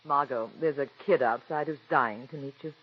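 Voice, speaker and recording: A woman's voice: a bass voice, normal speed, slightly rough. An actress playing a worldly, kindly playwright's wife who narrates her story in a 1940s radio drama. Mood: friendly, coaxing. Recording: dull old radio recording with music.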